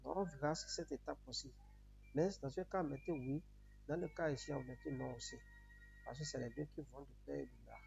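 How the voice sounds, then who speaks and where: A man's voice: mid-range voice, steady tone, brisk, vocal fry, darkish tone, soft background music. A man from Benin with a Beninese accent, a native Yoruba speaker, giving a step-by-step tutorial in repetitive, instructional phrasing. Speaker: tutorial presenter. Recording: muffled audio with noticeable background hum.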